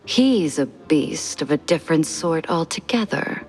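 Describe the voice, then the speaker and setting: A woman's voice: low voice, expressive, darkish tone, husky, heavily acted. A woman voicing a flirtatious, proud socialite mouse in a noir video game, arch and theatrical, drawing out her words. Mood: arch, languid. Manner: languid, arch, drawn-out words. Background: music.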